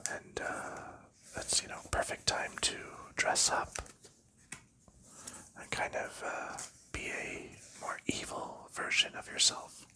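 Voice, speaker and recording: A man whispers his way through a relaxed ASMR chat, drawing every phrase out slowly. His whisper is bright and sharp-edged, close and breathy. He rambles softly and lets small chuckles slip in. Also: low-quality recording. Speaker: ASMR creator.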